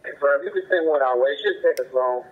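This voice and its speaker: A man's voice: fairly high pitch, fast talker. A man, a police officer, talking casually over a two-way radio. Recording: tinny police scanner radio.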